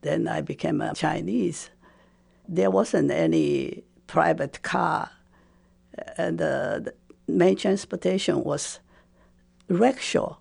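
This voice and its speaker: A woman in her seventies from Taiwan, a Japanese speaker, recalling her life slowly and hesitantly with frequent fillers. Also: low voice, creaky, a little mellow, breathy edge. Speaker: interviewee. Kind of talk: personal recollection.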